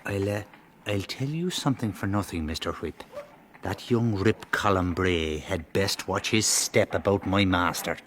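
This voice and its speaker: A man's voice: deep voice, unhurried. An actor playing a hearty, outspoken Irish working man in a period radio drama. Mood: confiding warning. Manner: colloquial, outspoken. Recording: clear background noise, 1990s radio play.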